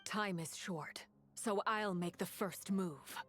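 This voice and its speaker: An actress playing a cool, controlled, resolute female fighter in a video game, with formal, deliberate speech. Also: mid-range voice, faint music behind, lightly acted. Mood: grim, resolute.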